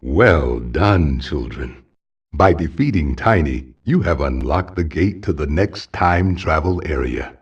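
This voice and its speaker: A man's voice: very deep voice, expressive, slow, slightly rough, dull audio, full character voice. A man voicing a wise, ancient guardian spirit in a video game, speaking in a solemn, grave, fatherly manner. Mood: solemn, guiding. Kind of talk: video game cutscene voice acting.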